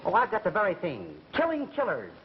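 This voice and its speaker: An actor playing a flustered, distracted radio executive who stammers and repeats himself in a 1930s comedy film. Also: male voice, high voice, wildly swooping pitch, a little fast. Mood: brisk, enthusiastic. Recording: muffled, noisy 1930s film soundtrack.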